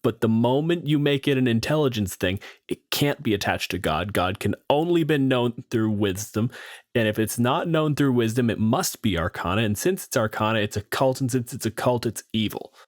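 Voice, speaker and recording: A man hosting an analytical podcast discussion, explaining things in measured, emphatic phrases. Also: medium pitch, no room sound, studio quality. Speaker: podcast host.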